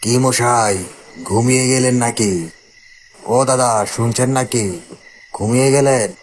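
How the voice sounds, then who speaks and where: A man voicing a nosy, cautioning village man who drawls insinuatingly in an animated horror story. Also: mid-range voice, slow, a little hiss or hum, pitched above the performer's own voice, natural voice. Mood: probing.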